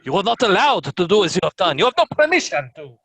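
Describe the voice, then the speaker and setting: A man's voice: high-pitched, sing-song, quick pace, fairly bright tone, no room sound, exaggerated voice. A man playing a contemptuous, taunting soldier in a historical play reading, with sneering, declamatory archaic speech. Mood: bitter contempt. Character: contemptuous, taunting soldier, bitter toward condemned prisoner. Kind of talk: dramatic table read of historical play.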